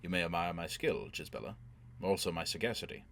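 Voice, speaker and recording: A man voicing a smug, coolly greedy surgeon with a dry, measured manner in a science-fiction story. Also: deep voice, vocal fry, fairly bright tone, slight background noise.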